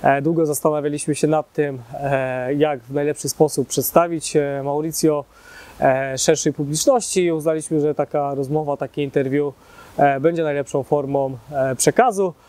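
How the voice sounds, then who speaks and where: A man's voice: a little high, steady tone, a little fast, darkish tone. A man interviewing a new staff member, speaking hesitantly with frequent fillers. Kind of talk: interview questions.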